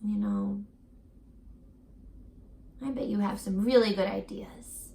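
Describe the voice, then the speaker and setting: A woman doing an animated read-aloud for young children as a teacher, gentle and encouraging, pausing to put questions directly to the listener. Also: medium pitch, vocal fry, reverberant. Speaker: teacher reading a picture book aloud to children.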